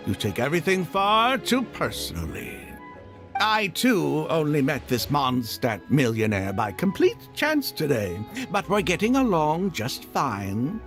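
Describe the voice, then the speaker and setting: A man's voice: a little high, wildly swooping pitch, a little slow, a bit bright, soft background music, exaggerated voice. Fussy worldly middle-aged man, swooping intonation. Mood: lecturing. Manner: wordy, cautious, avuncular lecturing. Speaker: voice actor in a game. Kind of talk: acted video game dialogue.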